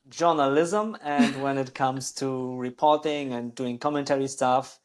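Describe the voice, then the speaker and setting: A man hosting a podcast and interviewing a guest casually, hesitant and often restarting his sentences, with laughter between questions. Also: medium pitch. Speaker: podcast host.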